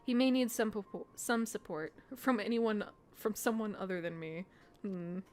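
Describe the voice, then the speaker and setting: A woman voicing earnest fantasy game characters in a formal, courtly, measured manner. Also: medium pitch, natural voice. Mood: concerned.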